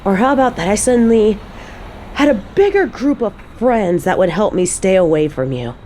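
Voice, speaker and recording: A woman's voice: medium pitch, expressive, normal speed, warm tone, natural voice. A woman performs a defiant mother facing down a toxic ex in an audio roleplay. Her mid-range voice is confrontational and scornful, leaning hard on key words. Some ambient noise sits behind the monologue. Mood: angry, exasperated.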